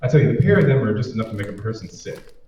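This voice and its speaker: A man's voice: mid-range voice, expressive, racing, dark tone, lightly acted. A man playing a wisecracking, reluctant boyfriend in an old-time radio drama, sardonic and grumbling. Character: wisecracking, reluctant boyfriend griping about his boss's parties. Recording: muffled, very echoey, distant, faint noise.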